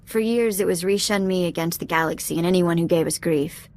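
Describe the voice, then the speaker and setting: Actress as a low-voiced cheeky young alien thief. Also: female voice, brisk, darkish tone, natural voice. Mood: wistful. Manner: casual, slangy, candid. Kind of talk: video game dialogue acting.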